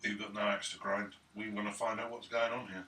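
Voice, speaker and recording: A man's voice: on the low side, flat delivery, brisk, sharp tone. A man talking casually as a player in a tabletop role-playing game. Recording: roomy, distant mic, clear noise, clicks.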